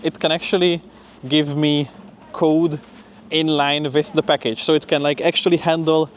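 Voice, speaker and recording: A man's voice: a little high, level tone, moderate pace, slight background noise. A young man giving an informal, upbeat vlog update in a conversational way, as a student talking through his week. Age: young adult. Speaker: PhD student vlogger. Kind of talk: casual spoken vlog update.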